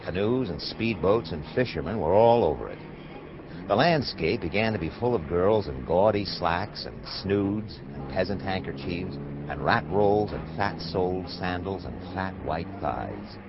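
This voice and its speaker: An actor playing a cynical, wisecracking American private detective in a hardboiled radio drama, narrating and trading dialogue. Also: male voice, low voice, expressive, unhurried, lo-fi sound, background noise. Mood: wry, reflective. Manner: sardonic, wisecracking.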